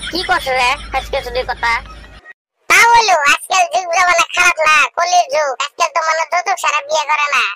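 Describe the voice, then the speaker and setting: Brief: man voicing a very high-pitched village lad, crude and teasing, over loud music. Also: brisk. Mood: bantering. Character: young village man bantering with his friend. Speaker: voice actor. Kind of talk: comedic cartoon dialogue.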